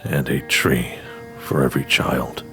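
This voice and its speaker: A wry, grumbling male partner in an audio drama. His voice sits low and moves slowly, with clear music behind it. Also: level tone, fairly bright tone, exaggerated voice. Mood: somber.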